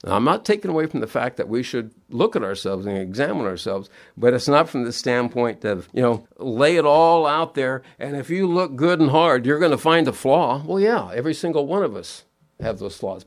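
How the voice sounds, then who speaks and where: A man's voice: medium pitch, lively intonation, a little fast. A middle-aged man preaching a sermon, sounding nasal and sniffly from allergies, earnest and repeating his key words in plain homespun phrasing.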